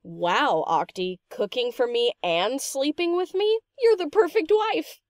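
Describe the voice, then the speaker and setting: A woman's voice: high voice, measured pace, no room sound, studio quality. A woman voicing a cheeky, laid-back DJ pony in an audio story, with a casual, teasing, slangy delivery. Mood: brash, mock-offended. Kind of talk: scripted audio story character acting.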